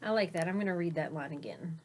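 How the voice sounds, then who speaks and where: A woman reading a children's picture book aloud like a teacher, with deliberate emphasis and short asides to her listeners. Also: low voice. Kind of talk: expressive read-aloud storytelling for young children.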